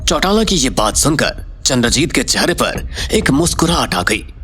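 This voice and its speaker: A man delivers dramatic scripted narration for an audio story. His mid-pitched voice moves in a lively way, with faint music underneath. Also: normal speed.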